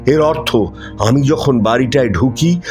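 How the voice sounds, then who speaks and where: A man narrating a horror story in the first person, dramatic and suspenseful, with pauses for effect. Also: medium pitch, a little mellow. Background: music.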